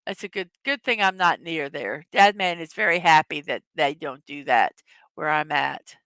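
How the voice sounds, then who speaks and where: A middle-aged woman chats through a casual morning livestream, greeting viewers and reading their messages out loud. Her voice has a bright, clear edge that has worn a little hoarse, and the sound is dry and close. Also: low-pitched, moderate pace, dry recording. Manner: chatty, reads chat messages aloud, laughs often. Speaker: livestream host.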